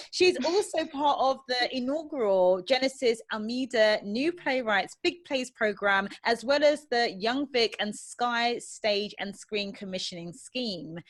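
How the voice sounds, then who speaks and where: A woman hosting a conversational interview podcast in an effusive, welcoming way. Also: on the high side. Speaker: podcast host.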